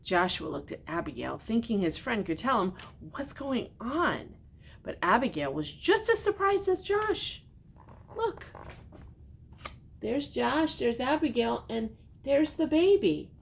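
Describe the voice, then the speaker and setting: An older woman reading a children's storybook aloud in a gentle, expressive way, often speaking directly to her young listeners with questions. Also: on the high side, measured pace, creaky, slight background noise.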